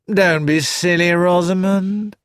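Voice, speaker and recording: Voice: medium pitch, fairly even intonation, takes it slowly, no echo, pitched above the performer's own voice, lightly acted. A narrator voicing a composed, pleasant young man who talks unhurriedly, in a dry studio recording. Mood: calm.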